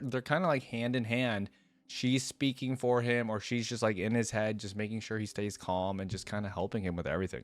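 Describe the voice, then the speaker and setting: A man's voice: fairly low pitch, no room sound. A man hosting a reaction video with casual, rambling commentary, thinking aloud in an informal way.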